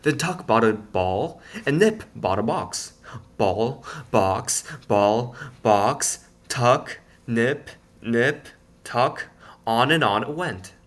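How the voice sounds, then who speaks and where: A man reading a children's picture book aloud in an expressive, playful storytelling manner. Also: mid-range voice, extremely slow.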